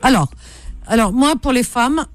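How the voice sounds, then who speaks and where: A woman's voice: on the high side, lively intonation, moderate pace, dry recording. A woman hosting a radio call-in, chatting casually and familiarly, often repeating back what she is told. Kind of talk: casual live radio chat.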